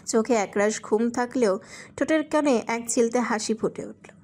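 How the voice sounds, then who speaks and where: High-pitched woman narrating a romantic story. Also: moderate pace, a little mellow.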